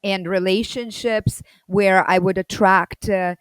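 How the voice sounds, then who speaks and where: A woman shares her story as an interview guest, loud, energetic and expressive. Her voice sits at a middle pitch. It sounds dry and close, with almost no room echo. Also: slow, smooth. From Soviet Union.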